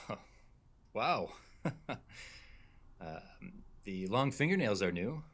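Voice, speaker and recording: A man's voice: lowish voice, sing-song, extremely slow, fairly bright tone, exaggerated voice. An actor playing a nervous male bank clerk, the woman's ex, awkward and laughing nervously in a radio drama.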